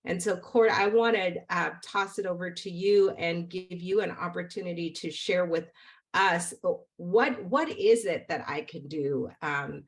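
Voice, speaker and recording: An American woman in middle age hosts an online discussion in a lowish voice. She phrases things deliberately, pausing and repeating words as she hands the conversation along. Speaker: panel moderator. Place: home room on video call.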